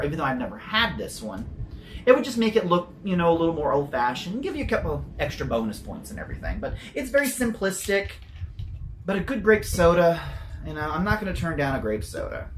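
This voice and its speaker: A man's voice: fairly high pitch, sing-song, a little fast, slight background noise. A man casually reviewing sodas on his channel, chatty and prone to rambling tangents, with the odd swear word and no regional accent. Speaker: soda reviewer.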